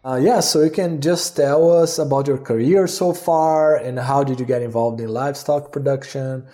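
Guy hosting a podcast, soft dark voice, chatty and informal questions. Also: medium pitch, moderate pace. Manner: informal, loosely phrased, conversational. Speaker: podcast host, interviewer. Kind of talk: casual interview chat.

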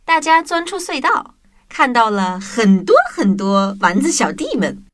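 A woman narrating a scripted children's story in an expressive way, as the presenter of an audio drama. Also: on the high side, a little slow, no echo, soft background music. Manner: expressive, addressing young children.

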